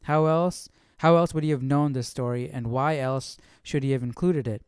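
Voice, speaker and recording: Male study host: clear smooth mid-range voice, informal reflective commentary, dry recording. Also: mid-range voice, normal speed, darkish tone, no room sound. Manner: informal, musing aloud.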